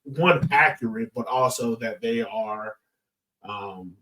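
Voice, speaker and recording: A man from the American South with a medium-pitched voice discusses things casually on a sports podcast. He thinks aloud as he explains, and he sounds somewhat distant from the microphone. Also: slow, sharp tone. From southern United States. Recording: distant from the mic.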